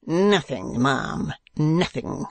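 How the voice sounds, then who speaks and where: A cold, grasping older man from a classic storybook, acted out by a narrator. His voice is harsh and rough, with bitter sarcasm in it. The sound is dry. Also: mid-range voice, lively intonation, extremely slow, no room sound, heavily acted. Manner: bitter, sardonic. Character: cold, scornful, grasping older male uncle. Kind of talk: classic novel audiobook character.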